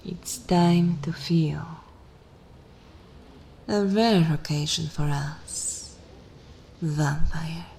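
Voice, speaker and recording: A woman voicing a dominant, condescending, seductive vampire mistress in an ASMR roleplay, speaking in breathy, intimate whispers with long deliberate pauses. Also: deep voice, steady tone, a little mellow, a little hiss or hum, exaggerated voice. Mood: seductive, hushed.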